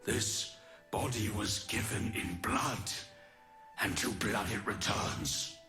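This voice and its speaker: A noble, weary immortal champion for a video game. His voice sits low and moves very deliberately, each formal phrase weighed with solemn resolve. There is a forceful edge to it, over a faint bed of music. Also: full character voice. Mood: grim resolve. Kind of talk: scripted dramatic game voice lines.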